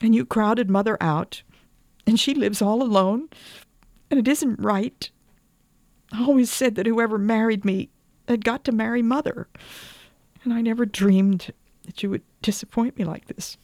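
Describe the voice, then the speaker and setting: A woman's voice: low voice, lively intonation, moderate pace, darkish tone, dry recording, studio quality. A woman voicing a passionate, wounded young wife in an old-fashioned storybook, with emphatic, pleading delivery. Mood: tearful reproach.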